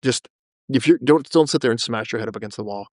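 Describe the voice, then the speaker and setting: A man's voice: medium pitch, fast talker, a little mellow, no room sound, clean studio sound. A man hosting a podcast in a casual interview style, speaking bluntly and emphatically. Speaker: podcast host.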